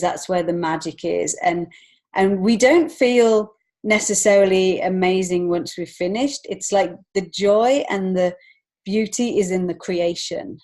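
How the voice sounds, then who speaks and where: A woman's voice: mid-range voice, a little mellow. A woman speaking as an interview guest in an earnest, reflective and encouraging way. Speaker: podcast guest, children's author and illustrator. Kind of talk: conversational interview answers.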